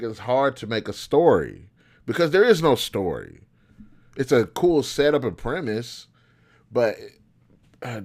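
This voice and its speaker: A man hosting a show, giving casual, opinionated commentary in slangy, informal speech as he thinks aloud. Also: mid-range voice. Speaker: host of a show on video game movies.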